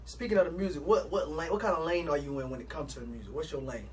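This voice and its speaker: A man's voice: a little high, quick pace, far from the mic. A man hosting a podcast, casual and upbeat with slang. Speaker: podcast host.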